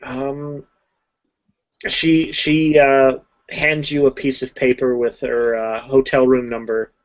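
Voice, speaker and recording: A woman casually narrating a tabletop game as game master, halting with fillers. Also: extremely low pitch, little pitch movement. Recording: dull lo-fi home mic.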